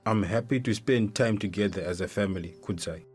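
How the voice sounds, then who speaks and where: A stern older Zimbabwean father in a radio play. His voice sits low, and he speaks bluntly and guardedly, giving no ground. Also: brisk, darkish tone, breathy edge. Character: stern, unyielding traditional father of a grown child. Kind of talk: radio drama acting.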